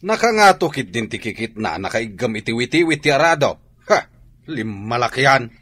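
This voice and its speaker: A hot-tempered farmer dad in a radio drama, scolding, sharp voice that swings up and down. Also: male voice, medium pitch, very animated, moderate pace. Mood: angry, scolding. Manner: gruff scolding. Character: stern, hot-tempered farming father.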